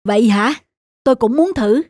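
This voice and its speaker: Woman voicing a heavyset cartoon restaurant boss, high-pitched. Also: normal speed, darkish tone, no echo, studio quality, close to an everyday voice. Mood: curious, pleased. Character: glum, heavyset male restaurant owner seeking a fix. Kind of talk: cartoon story acting.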